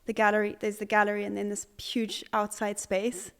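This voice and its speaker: Young woman from Zimbabwe, artist, interview answers, hesitant, full of ums, medium pitch. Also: completely flat delivery, brisk, a bit bright, clean tone. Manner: hesitant, many fillers and restarts. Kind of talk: conversational interview.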